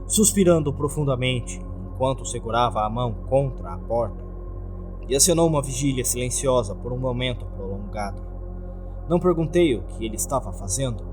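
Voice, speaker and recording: Male horror narrator, Brazilian accent, lively intonation, scripted first-person storytelling over a music bed. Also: medium pitch. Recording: music behind the voice.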